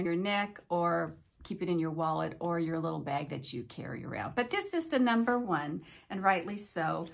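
A woman's voice: on the low side, gravelly. An older woman hosting a home vlog, telling stories in a friendly way with playful asides, recorded at desk distance in a small room. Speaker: cruise vlogger host. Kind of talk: chatty countdown vlog.

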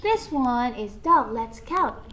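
A woman's voice: a little high, very animated, slow pace, pushed and bright, slight background noise. A young woman English teacher with a Vietnamese accent, coaxing a small child through a speaking test with sing-song praise, recorded by a camera across a small classroom. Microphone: far camera.

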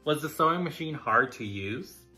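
A man's voice: on the high side, expressive, normal speed, bright, forceful tone, faint music behind, pitched above the performer's own voice. A man voicing a kindly, patient female schoolteacher who explains things in a children's storybook.

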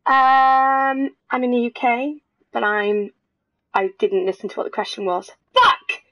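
A woman's voice: on the high side, steady tone, a little slow, a bit bright, lo-fi sound. Chatty Welsh woman gaming. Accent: Welsh, softened by London. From Wales. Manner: chatty, jokey, swears. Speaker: let's play streamer. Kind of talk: casual gameplay commentary.

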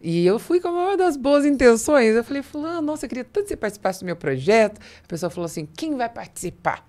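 A woman's voice: on the high side, sing-song, fast, a little mellow. A middle-aged woman with a Brazilian Portuguese accent, hosting a business podcast in an emphatic, colloquial storytelling way. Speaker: podcast host. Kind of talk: conversational business podcast interview. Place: small podcast studio with curtains, indoors. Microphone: close studio mic on boom arm.

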